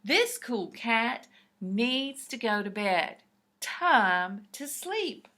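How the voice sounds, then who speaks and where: A woman's voice: on the high side, big pitch swings, extremely slow, bright, forceful tone, heavily acted. A woman voicing a laid-back, groovy young male cat in a children's storybook, with hip, easygoing slang. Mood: weary.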